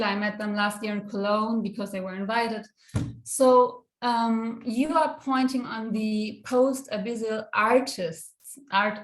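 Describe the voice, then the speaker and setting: A woman from Europe speaking as a conference panelist in a spontaneous academic discussion, halting and searching for words. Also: medium pitch. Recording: echoey room sound.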